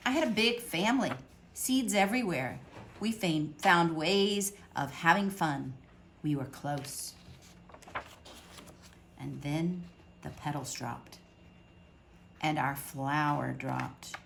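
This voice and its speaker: A woman reading a picture book aloud to children at storytime, expressive, with dramatic pauses. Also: deep voice, vocal fry, noisy background.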